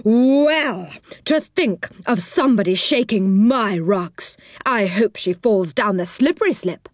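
A woman's voice: medium pitch, measured pace, dry recording, clean studio sound, close to an everyday voice. A woman voicing a hot-tempered, round-faced moon man in a storybook, exclaiming indignantly.

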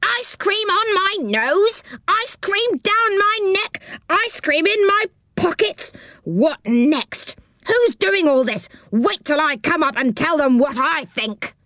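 A hot-tempered little pixie played by a woman reading a storybook. The voice is high and shrill, exclaiming with indignation and swooping widely in pitch. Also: animated, takes it slowly, pushed and bright, no room sound, clean studio sound, exaggerated voice. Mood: furious, outraged.

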